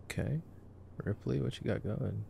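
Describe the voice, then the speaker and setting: A man playing a nerdy, affectionate boyfriend in an ASMR sleep-aid roleplay, soft-spoken, teasing and rambling as he comments on a movie. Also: mid-range voice, moderate pace, gravelly, a little hiss or hum, voice pushed up. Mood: amused, curious.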